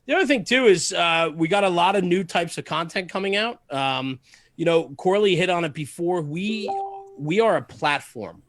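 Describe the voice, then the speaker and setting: Man talking earnestly, slightly high-pitched voice. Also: expressive, moderate pace, a bit bright, no room sound, studio quality. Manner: earnest, emphatic, repeats phrases for stress. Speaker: podcast guest, fire service media host. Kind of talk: casual podcast interview, passionate monologue.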